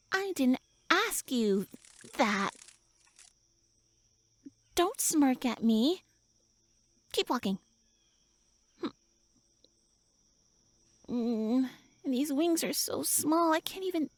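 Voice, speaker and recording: A tiny elf woman speaks in a high voice with very little room sound. She is pouty and indignant, huffing and stammering as she scolds. It plays as an intimate fantasy roleplay audio with a tsundere temper. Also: lively intonation, extremely slow, pauses often, no room sound, heavily acted. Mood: pouty, flustered. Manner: flustered, indignant, huffy, stammering. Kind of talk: roleplay audio drama addressed to the listener.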